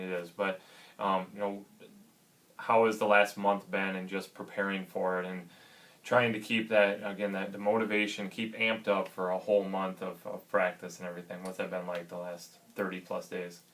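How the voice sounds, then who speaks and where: A man hosting a college athletics interview, upbeat and casual, with many fillers and quick agreements. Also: low-pitched, little pitch movement, fairly bright tone. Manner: upbeat, filler-heavy, frequent backchannel agreement.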